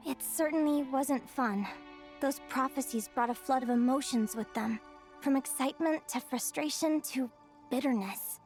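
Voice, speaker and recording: A woman playing an earnest, stubborn young fae in a video game, expressive and insistent. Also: high-pitched, unhurried, soft background music. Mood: reflective, downcast.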